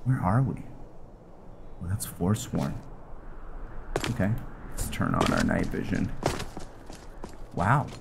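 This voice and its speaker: A man doing casual video game commentary in a halting way, thinking aloud as he plays. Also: deep voice, vocal fry, noisy background. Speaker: host of a video game roleplay series. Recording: music behind the voice.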